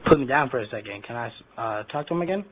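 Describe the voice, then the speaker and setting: A man's voice: mid-range voice, animated, quick pace, breathy edge. A man making an improvised prank phone call, talking in pushy, cocky street slang over a headset in online voice chat. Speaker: prank caller. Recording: noisy game voice chat with clicks.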